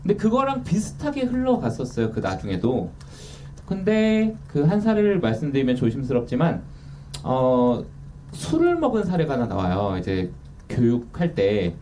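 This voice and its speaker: A man's voice: medium pitch, huge pitch swings, moderate pace, warm tone. A man in his thirties, an author, talking candidly and self-deprecatingly in a casual interview. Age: 30s. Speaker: author guest. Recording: distant mic with faint noise.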